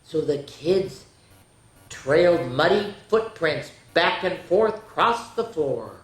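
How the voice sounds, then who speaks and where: A woman's voice: deep voice, expressive, slow. A woman hosting a children's storytime, reading picture books aloud with playful, chatty asides to her young listeners. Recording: echoey, roomy sound.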